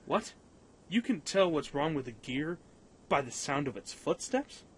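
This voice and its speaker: A man's voice: on the high side, sing-song, a little slow, husky, full character voice. A man playing a cautious, earnest young pilot in a radio play, with a slightly hesitant manner. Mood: surprised, wary.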